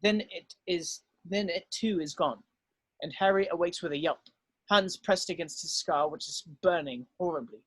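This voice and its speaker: A man's voice: on the high side, moderate pace, breathy edge, no echo. A man narrating, reading screenplay stage directions aloud at a script table read.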